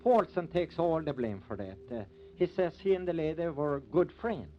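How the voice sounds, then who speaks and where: Rural lawman, folksy rough grammar, slightly high voice, old radio drama, hissy sound. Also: very animated, moderate pace. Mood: matter-of-fact. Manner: ungrammatical folksy speech. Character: folksy male rural sheriff and gruff, defensive deputy. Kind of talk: 1950s radio acting. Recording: muffled, noisy old radio recording.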